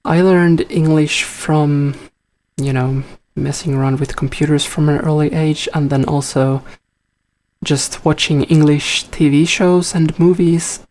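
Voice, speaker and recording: Slow, hesitant Finland-Swedish man. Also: a little high, fairly even intonation, darkish tone. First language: Finnish. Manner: hesitant, thinks aloud with many fillers. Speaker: live coder answering chat. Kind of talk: casual thinking-aloud commentary.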